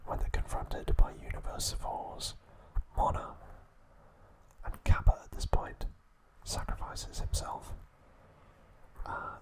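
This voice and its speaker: A man whispers softly and breathily, as an ASMR host would. He takes his recap very slowly, with gentle, halting phrasing that stays hushed throughout. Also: low-quality recording.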